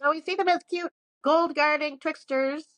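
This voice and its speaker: A woman's voice: sits high, steady tone, normal speed, a bit bright, dry recording. A woman co-hosting a podcast, partly reading from notes and chatting casually, with sweary, self-mocking asides. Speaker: podcast co-host presenting research.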